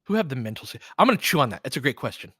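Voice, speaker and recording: American guy doing casual hoops play-by-play, chatty with opinions, bright punchy voice, dry close sound. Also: medium pitch, very animated, very fast, breathy edge, no room sound. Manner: informal, conversational, opinionated. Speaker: play-by-play host of a fan stream. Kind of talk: basketball play-by-play mixed with casual fan chat.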